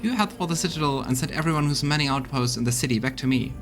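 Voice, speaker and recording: A man's voice: medium pitch, quick pace, soft background music, lightly acted. A man voicing a brash, gung-ho crusade fighter from a fantasy game, giving a punchy, slangy briefing. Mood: brisk, commanding. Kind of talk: acted reading of game dialogue.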